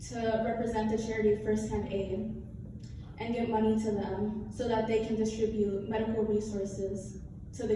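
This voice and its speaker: A young woman from Spain giving a composed, prepared answer as a pageant contestant. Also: a little high. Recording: echoey hall from far off, noisy.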